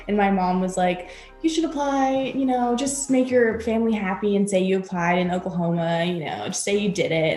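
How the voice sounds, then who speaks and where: A woman's voice: medium pitch, roomy sound. A young woman who grew up in South America, a podcast co-host guest, chattily telling stories. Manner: storytelling, quotes her family, chatty. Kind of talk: casual interview chat.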